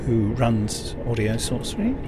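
A man's voice: mid-range voice, very animated, unhurried. A British man recording an informal, jokey spoken diary and chatting while out on location. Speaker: audio producer and actor. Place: on location while travelling: train, station, car, outdoors. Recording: noisy location recording, train and car sounds.

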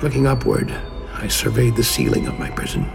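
A man giving a slow, brooding dramatic reading of gothic tales in an audiobook trailer. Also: mid-range voice, sing-song, vocal fry. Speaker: audiobook reader. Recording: trailer with music bed.

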